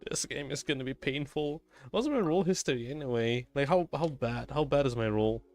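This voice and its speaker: A man's voice: medium pitch, normal speed, faint music behind. A man livestreaming a game, talking casually and muttering to himself as he plays. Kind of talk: casual solo gaming stream chatter.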